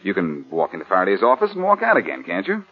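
A clever rogue detective in an old American radio thriller. His low, rough-edged voice moves freely with wry confidence. The recording is muffled with age. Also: wildly swooping pitch, fast, full character voice. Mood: scheming. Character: wry, quick-witted male rogue detective, cool under pressure. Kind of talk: 1940s radio crime drama acting.

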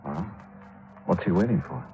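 An actor playing a wry insurance investigator in a 1950s radio detective drama, with a dry, clipped, questioning manner. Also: male voice, low-pitched, lively intonation, normal speed, lo-fi sound. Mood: wry, curious. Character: wry, brisk insurance investigator, adult man. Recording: 1950s radio, loud music behind narration.

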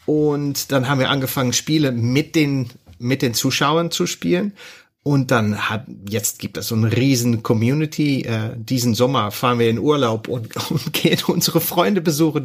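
A man's voice: mid-range voice, moderate pace. A Dutch man, a podcast guest, chatting casually in a second language, cheerful and laughing often, sometimes searching for words. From Netherlands. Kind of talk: casual chat.

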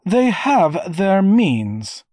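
Man as formal storybook dowager: firm, dignified, pitched-up voice, animated intonation. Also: very slow, no echo, exaggerated voice. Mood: stern, final. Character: stern, dignified older noblewoman, a mother.

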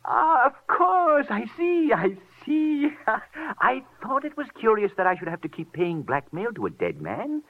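An actor playing a fussy, smug, genteel murderer in a 1950s radio crime drama, husky, theatrical and given to repeating himself. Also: male voice, very high voice, wildly swooping pitch, a little slow, few pauses, phone-quality sound, heavily acted. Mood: wry, resigned. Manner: theatrical, fluttery, repeats himself, chuckling asides. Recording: thin old radio recording.

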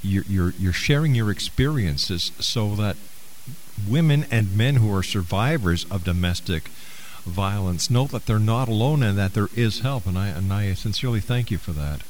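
Man, radio host, deep voice, animated intonation, courteous, affectionate. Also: normal speed, slight background noise. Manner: courteous and affectionate, with some stammered restarts. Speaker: radio talk show host. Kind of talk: radio interview.

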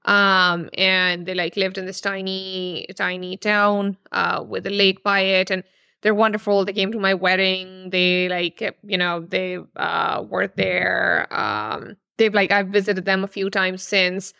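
A woman's voice: medium pitch, little pitch movement, measured pace, gravelly, pushed and bright, smooth, no echo, clean studio sound. A woman in her thirties from Pakistan, a novelist answering interview questions thoughtfully, with many fillers and restarts. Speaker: novelist guest.

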